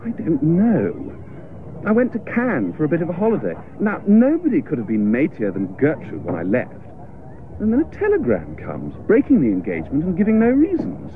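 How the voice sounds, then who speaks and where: Lovelorn posh english young gent, radio comedy, earnest n plaintive, pitch all over, muffled hissy recording. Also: male voice, wildly swooping pitch, moderate pace. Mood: bewildered, plaintive. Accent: upper-class English. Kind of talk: radio comedy drama acting.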